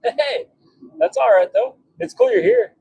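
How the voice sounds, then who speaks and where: A man's voice: very high voice, huge pitch swings, a little slow, vocal fry, warm tone, no room sound. A man giving casual livestream commentary as he works, muttering short, wry remarks to himself and his viewers. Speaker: livestream host forging knives. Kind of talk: casual livestream chat and running commentary while working. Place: blacksmith workshop with forge.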